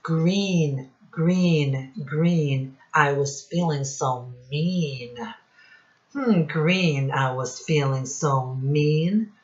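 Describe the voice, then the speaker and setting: A woman teacher speaking to young children in a singsong instructional style, with simple phrases and deliberate repetition. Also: low voice, echoey room. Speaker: children's teacher and read-aloud host. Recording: dull, lo-fi sound.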